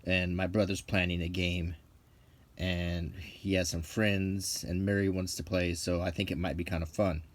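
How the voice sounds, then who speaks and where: A middle-aged man talking casually and unscripted in an unhurried, musing way with frequent pauses, like an unintentional ASMR video. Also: low-pitched, little pitch movement. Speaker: channel host.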